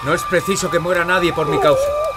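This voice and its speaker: An actor playing a bold, noble outlaw leader in a dramatized audio adventure. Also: male voice, high-pitched, huge pitch swings, brisk, very noisy. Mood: resolute. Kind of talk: dramatized audio fiction acting.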